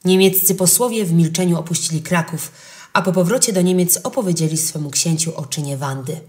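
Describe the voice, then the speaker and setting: A woman narrating a children's legend in a calm, clear storybook reading style. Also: on the low side, a little fast.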